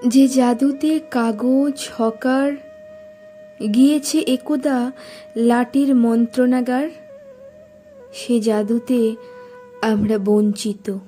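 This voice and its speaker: A woman with a high voice recites poetry very slowly over light music. Her tone is soft and mellow, and she places each phrase deliberately, leaving expressive pauses. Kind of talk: dramatic Bengali poetry recitation.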